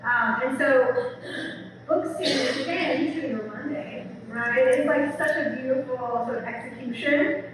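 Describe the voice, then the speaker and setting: A woman's voice: sits high, measured pace. A woman professor giving an informal, enthusiastic book talk to an audience, chatty, often adding "like" and "right?". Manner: conversational, peppered with "like" and "right?", enthusiastic. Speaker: speaker, English professor. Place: event room with an audience. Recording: very echoey hall, distant mic, noisy.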